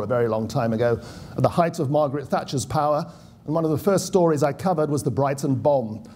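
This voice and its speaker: A man's voice: mid-range voice, mellow, slight background noise. A middle-aged British man, formerly a political correspondent, reading a prepared speech at a conference podium with dry, wry asides. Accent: British English. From United Kingdom. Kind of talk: prepared speech read from notes. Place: conference hall. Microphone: podium microphone.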